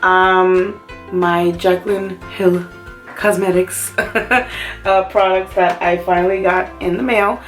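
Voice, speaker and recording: Hoarse woman chatting through a cold. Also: on the low side, fairly even intonation, takes it slowly, soft background music. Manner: chatty, many "um"s and side remarks. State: hoarse, fighting a cough. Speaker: beauty vlogger. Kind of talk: casual makeup review to camera.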